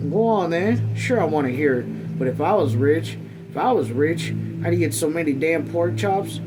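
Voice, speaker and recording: A man reading a novel aloud as a read-along host, somewhat haltingly, stumbling over words and voicing folksy dialogue. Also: on the high side, low-quality recording. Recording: music playing behind the voice. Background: music.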